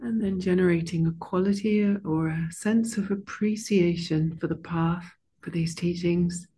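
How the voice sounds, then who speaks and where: A soft-spoken woman leading a meditation and then chatting with her online class as their teacher, slow and gentle at first, then warm and full of fillers. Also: fairly low pitch, steady tone, breathy edge. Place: monastery room.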